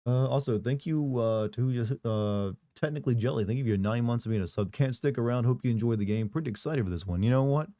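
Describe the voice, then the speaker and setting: Hoarse man chatting on a stream. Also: medium pitch, quick pace, few pauses, mellow, dry recording, clean studio sound. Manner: rambling, casual, joking asides. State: hoarse, recovering voice. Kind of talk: live stream chat, reading viewer messages.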